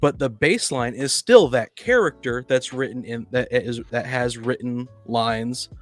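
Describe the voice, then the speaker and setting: A man's voice: medium pitch, measured pace, dry recording, faint music behind. Insistent man arguing his point. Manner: argumentative, insistent, interrupting. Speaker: podcast panelist. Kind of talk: casual debate.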